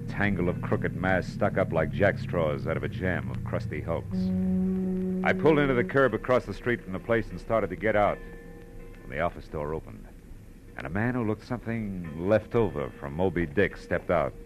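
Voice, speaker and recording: Casting: deep-voiced, wisecracking gumshoe for old-time radio noir, dry and clipped, music underneath. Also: male voice, animated, normal speed, low-quality recording. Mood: wry, brooding. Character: hard-boiled, wry male private detective. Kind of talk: 1940s radio noir narration and dialogue.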